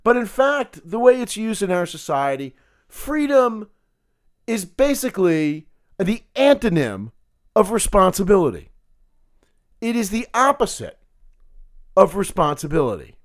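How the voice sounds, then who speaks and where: A man hosting a political podcast, talking solo in a sarcastic, combative way with a gravelly voice. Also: sits high, very animated, a little slow, long pauses. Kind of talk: opinionated solo commentary.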